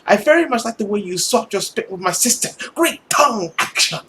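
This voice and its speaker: A man's voice: sits high, lively intonation, brisk, bright, forceful tone, a little hiss or hum, voice pushed up, heavily acted. A man voicing a bitter, haughty girl in a visual novel, with a sardonic, theatrical delivery. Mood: bitter, sarcastic. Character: bitter, haughty, sarcastic girl of a genteel household.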